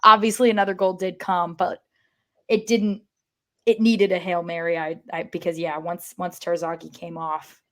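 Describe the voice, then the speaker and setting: A woman with a somewhat low-pitched voice talks sport as a podcast co-host. She is conversational and emphatic, breaking off and restarting her sentences in short bursts. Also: little pitch movement, moderate pace, a bit bright. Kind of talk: casual sports chat.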